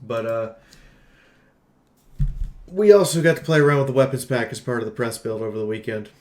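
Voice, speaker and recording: A man's voice: mid-range voice, fairly quick, darkish tone, echoey room. A man giving a casual game review in an emphatic, exclamatory, jokey way, as a streamer talking through notes and ad-libs. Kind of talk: casual scripted game review with ad-libbed commentary.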